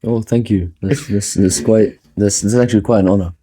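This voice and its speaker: A young man from Egypt, raised in Qatar, answering interview questions softly and hesitantly, often repeating words. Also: fairly low pitch, fairly even intonation, a little fast, breathy edge. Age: young adult. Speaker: guest. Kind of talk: casual interview talk.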